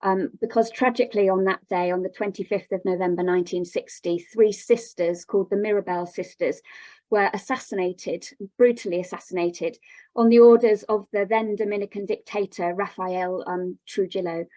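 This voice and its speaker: A woman's voice: mid-range voice, a little mellow, no echo, rough recording. A woman speaking as a radio guest, giving an explanatory answer in an interview.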